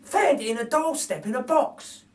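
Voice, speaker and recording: A man's voice: very high-pitched, animated, normal speed, pitched above the performer's own voice. A man voicing a good-natured, plain-spoken working-class male burglar with colloquial speech in a children's storybook. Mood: matter-of-fact. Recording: distant microphone.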